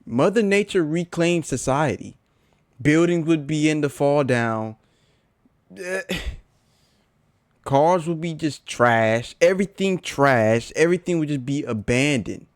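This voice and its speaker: Slow-talking casual man, dry close sound. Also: on the high side, lively intonation, no echo. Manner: informal, slangy, repeats phrases for emphasis. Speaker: reaction video host. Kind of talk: casual reaction commentary.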